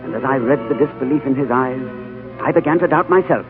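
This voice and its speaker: An actor playing a weary, haunted adventurer in a 1930s radio drama, narrating earnestly. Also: male voice, medium pitch, fast talker, natural voice. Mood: brooding. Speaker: leading man and narrator. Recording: tinny old radio broadcast with music.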